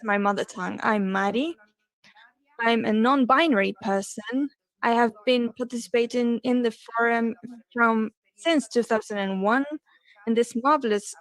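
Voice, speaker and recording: A woman interpreting a panel discussion live, her voice set a little higher than most. She moves slowly and haltingly, pausing often and correcting herself mid-phrase. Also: poor audio. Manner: halting, with pauses and self-corrections. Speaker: simultaneous interpreter.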